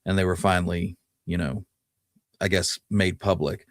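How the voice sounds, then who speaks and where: A man co-hosting a talk show, telling history conversationally and pausing now and then to recall details. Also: low-pitched, steady tone, no echo, clean studio sound. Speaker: podcast co-host.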